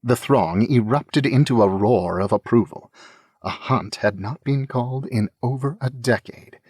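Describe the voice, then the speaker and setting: A man's voice: medium pitch, measured pace, a little mellow, slightly rough, dry recording, clean studio sound. A man reading scripted fantasy narration as an audiobook narrator.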